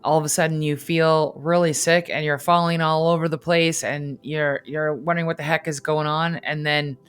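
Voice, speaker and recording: A woman's voice: low-pitched, steady tone, moderate pace, smooth, soft background music. A woman hosting an interview, recapping the guest's story back to her as a question. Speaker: host.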